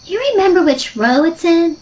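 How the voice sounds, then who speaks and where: A woman's voice: very high-pitched, moderate pace, a little mellow. A woman playing an earnest, easily spooked sister who chides and scolds in an audio drama. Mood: chiding. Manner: chiding. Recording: dull lo-fi audio, faint noise.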